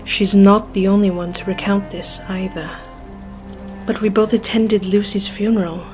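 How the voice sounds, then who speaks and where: A determined Victorian lady investigator, played by an actress in an audio drama. Her speech is formal and earnest, at a medium female pitch, carrying grief and resolve, with faint music behind. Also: fairly even intonation, moderate pace, a little mellow, natural voice. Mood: insistent.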